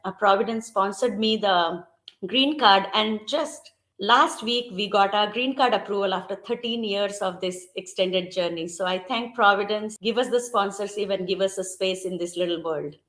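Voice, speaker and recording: A middle-aged Indian woman speaks in an easy, conversational way. She explains things patiently and slows down to spell out words now and then. Her voice sits at an ordinary middle pitch for a woman. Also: a bit bright. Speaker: interviewee. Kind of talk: conversational storytelling.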